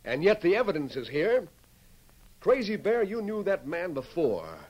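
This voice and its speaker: An actor playing an excitable, folksy wagon train leader in a 1950s radio western. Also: male voice, high voice, normal speed, low-quality recording. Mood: relieved, amazed. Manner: folksy, urgent, exclaiming. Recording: old radio recording, crowd behind.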